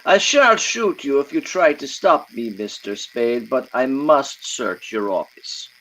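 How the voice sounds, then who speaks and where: An actor playing a fussy, polite, furtive Greek crook with formal, hesitant phrasing, in old-time radio style. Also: male voice, mid-range voice, lively intonation, measured pace, fairly bright tone, rough recording. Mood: coolly menacing. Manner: formal, hesitant, over-precise.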